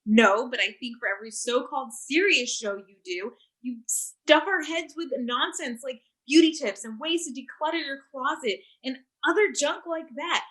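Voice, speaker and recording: An actress playing an earnest, accusing activist who challenges a talk show host, in a staged play reading on a video call. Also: female voice, sits high, normal speed, hardly any pauses, a bit bright, low-quality recording. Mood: scornful. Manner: accusing, insistent.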